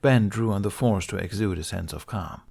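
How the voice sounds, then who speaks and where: Low-voiced man narrating a story. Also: normal speed, vocal fry, darkish tone, husky. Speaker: audiobook narrator.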